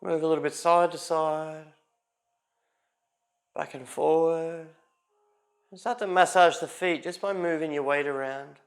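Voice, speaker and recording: A man with a high-pitched voice guides a yoga class. His delivery is calm and unhurried, in short instructive cues, with a creaky, gravelly edge to the tone.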